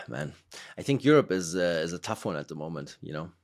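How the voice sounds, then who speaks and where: Very deep-voiced rambling man. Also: very animated, moderate pace, gravelly. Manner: rambling, filler-heavy, laughs between points. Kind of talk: casual conversation.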